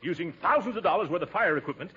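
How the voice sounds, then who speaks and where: An actor playing a pompous, blustering middle-aged uncle with exclamatory delivery and nervous chuckles, in 1940s radio comedy style. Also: male voice, high-pitched, lively intonation, racing, sharp tone, husky, heavily acted. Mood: indignant. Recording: dull, noisy 1940s radio recording.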